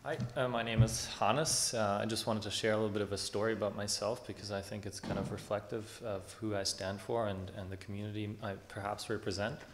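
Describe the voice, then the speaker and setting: A young man from Germany addresses a public consultation meeting. His voice sits low and stays flat, and he speaks earnestly, pausing often on fillers. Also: slight background noise. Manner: earnest, hesitant with many fillers.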